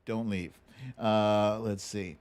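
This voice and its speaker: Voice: mid-range voice, steady tone, slow pace, a little mellow, clear, resonant tone. An American livestream host chatting casually and reading out viewer names in a playful, self-deprecating way during a song guessing game.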